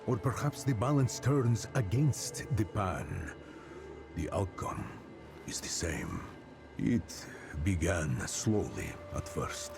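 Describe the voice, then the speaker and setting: A man playing a grave, devout elder storyteller of a dying forest people in a fantasy game, halting and musing in delivery. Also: low voice, very animated, gravelly, exaggerated voice. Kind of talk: theatrical fantasy game dialogue. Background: music.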